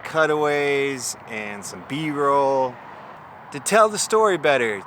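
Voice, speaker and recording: A man's voice: medium pitch, pushed and bright, a little hiss or hum. A man talking informally to his vlog audience as a video creator, candid and self-critical. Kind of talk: casual self-filmed vlog.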